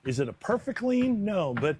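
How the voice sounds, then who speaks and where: A man's voice: high voice, very animated, moderate pace, darkish tone, a little hiss or hum. A man with an American accent, an announcer by trade, answering interview questions conversationally. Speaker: stadium announcer being interviewed.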